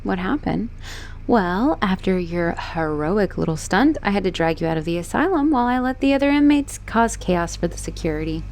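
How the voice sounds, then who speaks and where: A woman playing an obsessive, manipulative young woman who escaped an asylum, in a teasing, expressive roleplay monologue spoken straight to the listener. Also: mid-range voice, normal speed, a little hiss or hum, lightly acted. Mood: teasing, casual. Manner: teasing, possessive, expressive, swinging between sweet and exasperated. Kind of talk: dramatic roleplay audio monologue addressed to the listener.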